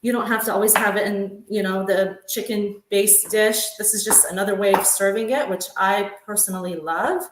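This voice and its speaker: A woman's voice: medium pitch, steady tone, moderate pace, hardly any pauses, background noise. Casual woman cook demoing a recipe, roomy kitchen sound. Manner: chatty, with frequent ums and laughs. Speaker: home cook demonstrating a recipe. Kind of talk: casual cooking demo. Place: home kitchen.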